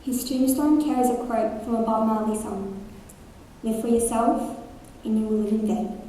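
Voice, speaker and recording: Woman, higher voice, earnest memorial reading, reverby assembly hall, mic far away. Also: steady tone, normal speed, mellow, slight background noise. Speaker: student presenter. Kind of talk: scripted memorial narration.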